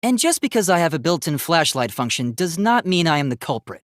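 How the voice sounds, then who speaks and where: A man's voice: on the high side, very animated, a little fast, no room sound, clean studio sound. A man voicing an earnest, polite young robot student in a video game, with formal, precise, logical speech that gets easily flustered.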